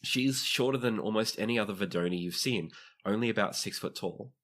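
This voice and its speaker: A man's voice: fairly low pitch, brisk, fairly bright tone. A man narrating a tabletop role-playing game as game master, in a conversational, descriptive way.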